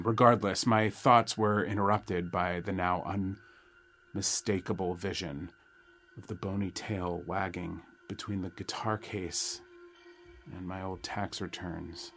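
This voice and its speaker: A man narrating a first-person horror audio drama in a wry, suspenseful way with dramatic pauses. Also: low voice. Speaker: storyteller narrating a nightmare.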